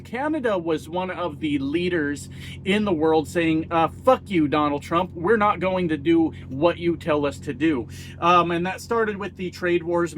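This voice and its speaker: A man's voice: fairly high pitch, fairly bright tone, slight background noise. Folksy, blunt middle-aged American man. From United States. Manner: folksy, blunt, swears freely, self-mocking. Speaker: YouTube commentator. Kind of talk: casual political commentary to camera.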